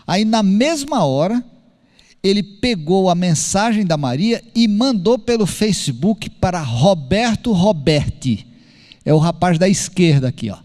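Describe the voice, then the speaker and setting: A man preaching a sermon, telling a story in an animated way and acting out the dialogue. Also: sits high, unhurried. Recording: long hall reverb.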